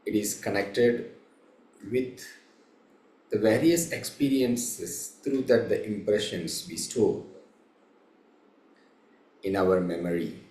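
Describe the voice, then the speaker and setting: Male meditation instructor, lowish pitch, very slow pace, halting short phrases, long pauses, echoey room. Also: a little mellow. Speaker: meditation teacher. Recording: roomy, echoing room sound.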